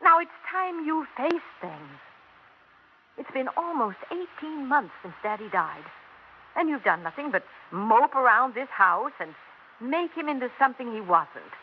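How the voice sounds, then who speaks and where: An American actress playing a blunt, exasperated grown daughter in an emphatic, confrontational 1960s radio drama style. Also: female voice, high-pitched, sing-song, measured pace, exaggerated voice. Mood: firm, exasperated. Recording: muffled, noisy 1960s radio recording.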